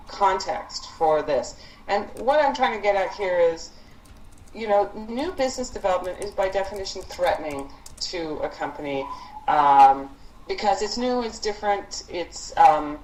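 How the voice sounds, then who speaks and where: A woman leading a conference call briefing for project teams, speaking in a halting, thinking-aloud way with frequent pauses. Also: medium pitch, lively intonation, darkish tone. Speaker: program leader briefing student project teams. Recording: echoey conference call with background noise.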